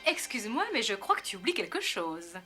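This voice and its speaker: A woman's voice: medium pitch, big pitch swings, fairly quick, lightly acted. An actress playing an affectionate elephant queen, wife and mother in a cartoon, tender and gracious. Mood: tender, teasing. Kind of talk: animated series dialogue. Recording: music clearly under the voice.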